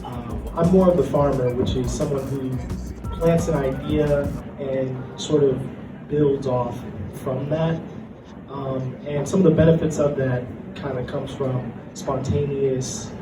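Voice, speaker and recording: A man speaking as a panelist, explaining his approach informally with measured hesitations. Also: mid-range voice, steady tone. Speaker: convention panelist. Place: convention panel room. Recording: echoey room from far off, music behind.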